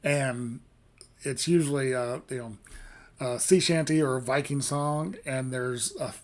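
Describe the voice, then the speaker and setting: A man's voice: fairly even intonation, unhurried. A man hosting a music reaction video, talking casually in a rambling, hesitant way with lots of fillers and restarts. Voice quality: fairly low voice.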